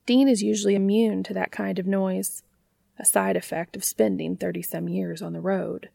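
A woman's voice: medium pitch, fairly even intonation, moderate pace, vocal fry, mellow, no echo. A woman narrating a piece of written fiction in the style of an audiobook.

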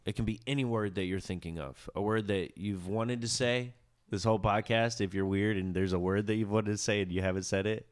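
Laid-back low-voiced male podcast host. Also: fairly even intonation, a little fast, few pauses, smooth. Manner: laid-back, musing, trails off into low mutters. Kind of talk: casual podcast conversation. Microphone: studio podcast mic.

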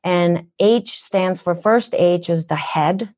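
Lower-voiced woman with Minnesotan accent. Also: slow pace, a little mellow. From Japan. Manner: earnest, teacherly, rhetorical questions. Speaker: guest wellness practitioner. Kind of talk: podcast interview.

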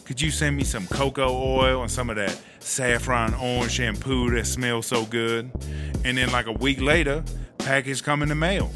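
A man's voice: medium pitch, steady tone, normal speed, over music, close to an everyday voice. An actor playing a brash, proud woman prison inmate who tells stories in swaggering street slang for a comedy audio drama. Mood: swaggering storytelling.

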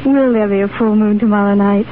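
An actress playing a poised, wistful English lady tempted by adventure, in genteel, measured 1930s radio acting. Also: medium pitch, steady tone, a little hiss or hum, natural voice. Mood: playful, enchanted. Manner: genteel, measured, softly dramatic. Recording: tinny 1930s radio broadcast, music behind.

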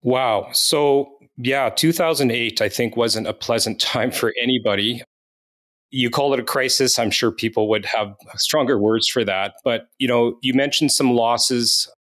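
A man's voice: medium pitch, a little slow, fairly bright tone. A man with a Canadian accent hosting a podcast, asking questions in a friendly, conversational way. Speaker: podcast host. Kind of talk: casual interview talk.

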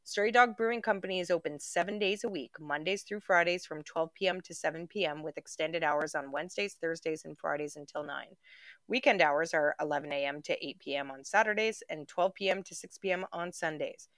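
A woman's voice: low-pitched, brisk, a bit bright, dry recording. A playful woman co-hosting a podcast, laughing often. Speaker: podcast co-host. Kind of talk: casual chat.

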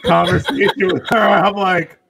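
A man co-hosting a podcast in casual, boozy banter, telling things in an animated, slangy and profane way. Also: high voice, moderate pace, vocal fry, slightly rough, poor audio. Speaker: podcast co-host.

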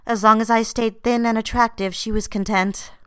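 An actress playing an earnest, defensive young secretary and dutiful daughter in an audio drama. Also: female voice, fairly high pitch, fairly even intonation, normal speed, a bit bright, smooth, no room sound, clean studio sound, close to an everyday voice. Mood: reflective, wry. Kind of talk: audio drama acting with first-person diary narration.

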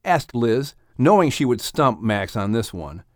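A man narrating a children's audiobook in an expressive, scripted storytelling style. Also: mid-range voice, measured pace, no echo, clean studio sound.

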